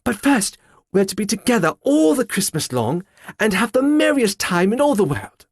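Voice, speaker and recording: A man voicing an eager, loving little girl in an audiobook, chattering high and breathless. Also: sing-song, normal speed, no echo, clean studio sound, exaggerated voice. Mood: gleeful. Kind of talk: audiobook character voice.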